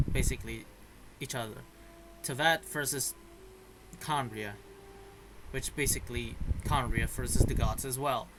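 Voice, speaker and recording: A male gaming streamer with a middle-pitched voice talks casually over a game. He thinks aloud in halting bursts. The recording has noticeable noise and clicks. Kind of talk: casual game reaction commentary.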